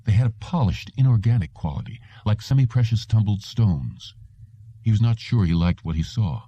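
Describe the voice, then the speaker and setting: A man's voice: lowish voice, animated, moderate pace, dark tone, slightly rough, no room sound. A man narrating an audiobook in a scripted storytelling style, with dull, muffled audio.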